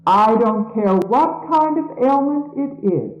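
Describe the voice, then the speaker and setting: Slow-reading woman in a dull, echoey recording. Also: a little high, animated, a little mellow. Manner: solemn, measured, declamatory. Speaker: reader of religious messages. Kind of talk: scripted scripture reading, sermon-like.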